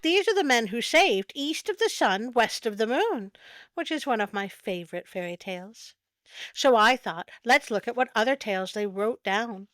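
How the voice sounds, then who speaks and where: A woman's voice: on the high side, a bit bright, dry recording. A woman hosting a story show, reading a folk tale aloud with playful, wry asides. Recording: music bed under the voice. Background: music.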